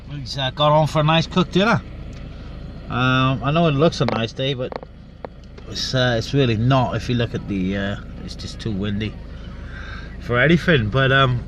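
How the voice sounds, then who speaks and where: A man's voice: mid-range voice, a little hiss or hum. A man, a CB radio hobbyist, chatting casually and rambling with lots of fillers from inside a parked vehicle. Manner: relaxed, rambling, many fillers. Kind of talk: casual chat to camera.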